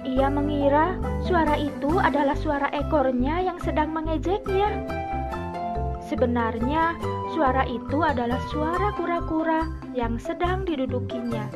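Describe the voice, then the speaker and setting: A woman tells a children's fable as a scripted storyteller. Her voice is high-pitched. Prominent music plays behind the narration. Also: a little slow.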